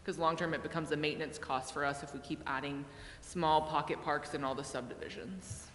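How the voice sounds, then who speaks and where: A woman's voice: sits low, fairly even intonation, a little fast, a bit bright. In an echoing chamber with faint noise, a woman from city staff addresses a meeting. She explains regulations in a polite, procedural way without a script. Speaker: city planning staff member. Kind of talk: unscripted explanation in a public meeting. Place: indoor council chamber. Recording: echoey reverberant chamber with background noise.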